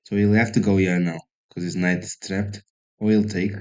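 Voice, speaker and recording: A man's voice: low-pitched, flat delivery, normal speed, darkish tone, dull audio. A man from South Africa streaming chess, thinking aloud and chatting casually with viewers in a self-deprecating way. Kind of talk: casual live-stream commentary.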